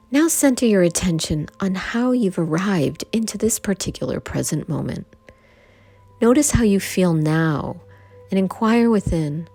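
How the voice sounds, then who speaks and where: A woman guiding a yoga nidra meditation, giving gentle instructions in short phrases with pauses between them. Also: fairly low pitch. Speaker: meditation guide. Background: background music.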